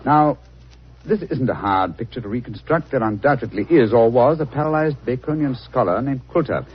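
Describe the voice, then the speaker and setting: An actor playing an incisive, coolly commanding English detective with a British accent in a 1940s radio drama. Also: male voice, mid-range voice, animated, normal speed, husky. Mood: grave, incisive. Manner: precise, clipped, polite. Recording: muffled, noisy 1940s radio recording.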